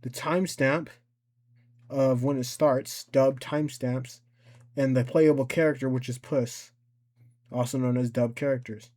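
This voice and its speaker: A man with a medium-pitched voice hosts a casual software tutorial. He explains things step by step in an informal, unscripted way, often thinking aloud as he works. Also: fairly even intonation, unhurried. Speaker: tutorial host.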